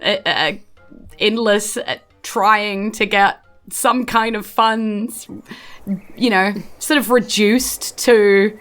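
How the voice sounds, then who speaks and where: A woman with a New Zealand accent and a creaky voice, narrating a tabletop roleplaying game as game master. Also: medium pitch, slow pace, fairly bright tone. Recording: music under the voice.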